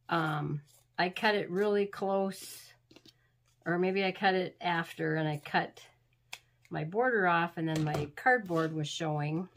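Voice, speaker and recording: A woman hosting a craft tutorial, talking through steps in a homey, rambling way, a little sniffly from being sick. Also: deep voice. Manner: chatty, homey, rambling instructions. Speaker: craft channel host. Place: crafting table indoors.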